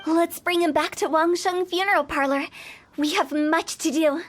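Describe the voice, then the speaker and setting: A woman's voice: extremely high pitch, fairly even intonation, normal speed, faint music behind. A woman voicing a playful, self-assured young funeral parlor director in a game. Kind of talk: game voice acting.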